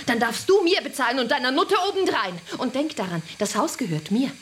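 An actress playing a bitter, mocking wife in a radio drama, sneering and contemptuous. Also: female voice, high-pitched, big pitch swings, a little fast, a little hiss or hum. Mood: bitter scorn.

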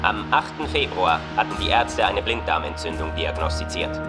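A man's voice: low-pitched, lively intonation, normal speed, loud music behind the voice. A man reading a scripted, report-like narration in a radio drama.

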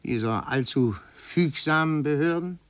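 An actor playing a shrewd, insinuating adviser in a 1950s German radio drama, hesitating and then speaking with dramatic deliberation. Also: male voice, fairly high pitch, measured pace, fairly bright tone, no echo. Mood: probing, urgent. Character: shrewd, insinuating man advising a young heir.

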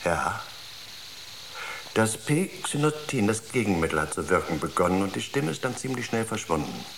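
A man's voice: lowish voice, big pitch swings, normal speed. An actor in a German radio drama playing a worried, insistent spaceship crewman. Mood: grave, explaining. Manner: earnest, insistent. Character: worried, urgent male spaceship crewman arguing with colleagues. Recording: clearly noisy ambience behind the voice.

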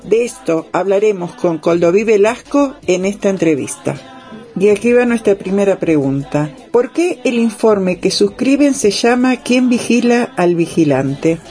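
A woman radio host with a mellow, relaxed voice reads a scripted introduction. Faint music plays underneath. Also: medium pitch, a little slow. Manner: reads a prepared script, then asks questions. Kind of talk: scripted news-style introduction.

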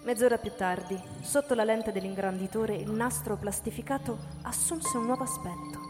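A woman's voice: on the high side, normal speed. A woman narrator reading a scripted dramatic story for radio. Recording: reverberant hall, music behind. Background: music.

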